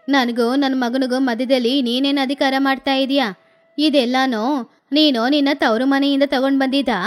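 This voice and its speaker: A woman's voice: sits high, pitched below the performer's own voice. Woman voicing a quarrelsome old mother-in-law. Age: older. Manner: scolding, quarrelsome. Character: greedy, sharp-tongued old mother-in-law.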